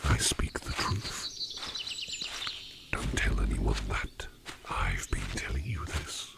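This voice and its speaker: Man voicing a very deep gravelly whispering demon. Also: big pitch swings, full character voice. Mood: confiding. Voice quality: breathy, gravelly near-whisper. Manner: slow, halting, formal phrasing. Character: gentle, formal male sleep demon disguised as human. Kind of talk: ASMR roleplay, personal attention. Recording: noisy, outdoor nature sounds behind.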